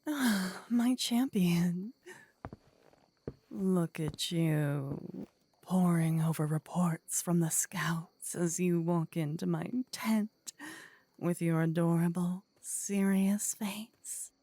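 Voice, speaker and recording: A woman's voice: lowish voice, drawn-out words, mellow, full character voice. A woman voicing a possessive, teasing demon queen in a fantasy ASMR audio roleplay, theatrical and gloating. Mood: teasing, fond.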